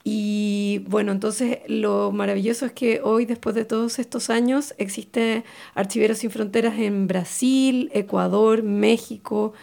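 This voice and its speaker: A woman's voice: medium pitch, steady tone, a little slow, gravelly, a little mellow. A woman with a Chilean Spanish accent hosting informal radio, speaking unscripted and hesitantly as she searches for words. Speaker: radio host.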